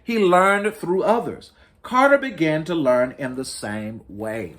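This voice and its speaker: A man reading a storybook aloud to children as host, in an expressive, deliberate and emphatic storytelling manner. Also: fairly high pitch. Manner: deliberate, emphatic storytelling with dramatic rises and falls.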